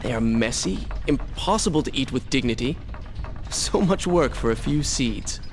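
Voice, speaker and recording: An actor playing a proud, brooding young warrior prince with an earnest, formal and terse manner in a video game. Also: male voice, medium pitch, lively intonation, normal speed, lightly acted. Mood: curt, reluctant. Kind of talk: video game cutscene acting.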